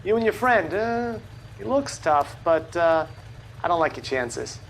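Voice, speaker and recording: A cocky male gangster boss in a video game. His high voice is brash and taunting, mocking whoever faces him. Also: expressive, unhurried, vocal fry, slight background noise, exaggerated voice, low-quality recording.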